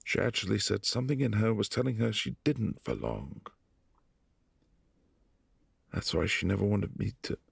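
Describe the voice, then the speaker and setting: A man voicing a brooding, lonely vampire prince in an ASMR roleplay, speaking softly and intimately in halting phrases, close to the mic. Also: fairly low pitch, sing-song, gravelly, slightly rough, no room sound, heavily acted. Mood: wistful. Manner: soft-spoken, intimate, halting.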